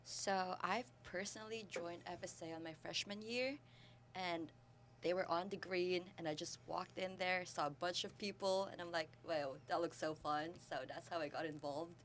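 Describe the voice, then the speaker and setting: A young woman from the Philippines with a fairly low voice. She talks casually as a student interview guest, with some noise and hum behind her. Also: moderate pace. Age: early 20s.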